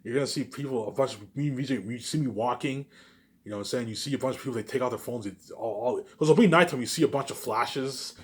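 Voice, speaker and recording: Male vlogger, rapid informal delivery, mid-pitched, self-aggrandizing, loops back on himself. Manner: repetitive, circling back over the same phrases. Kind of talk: rambling informal monologue to viewers.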